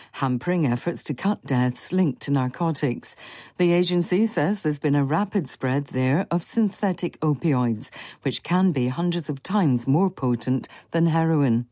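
A woman reading a radio news bulletin in a steady, formal manner from a studio. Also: low-pitched, no echo. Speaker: newsreader. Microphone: studio microphone.

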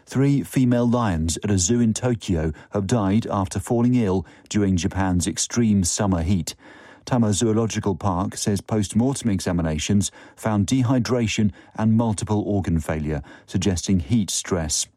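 A man reading a formal news bulletin in a measured, steady studio delivery. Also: on the low side, dry recording. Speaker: newsreader.

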